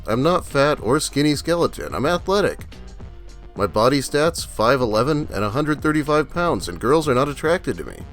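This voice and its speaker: A man's voice: mid-range voice, very animated, moderate pace, fairly bright tone, faint music behind. A man reading as a self-pitying, aggrieved teenage male in plaintive broken English for a comedy video. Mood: insistent.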